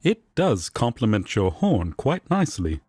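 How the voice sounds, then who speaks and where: Man playing a husky, slow-spoken angel. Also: on the low side, big pitch swings, a little mellow, no echo, studio quality, heavily acted. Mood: polite, gentle. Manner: formal, measured, courteous phrasing. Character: polite, earnest, excitable male angel roommate. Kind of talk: roleplay audio drama, comfort scene.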